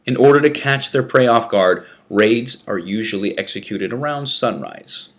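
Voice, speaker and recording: A man narrating a written first-person memoir as a scripted reading, with a deliberate, dramatic delivery. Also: medium pitch, lively intonation.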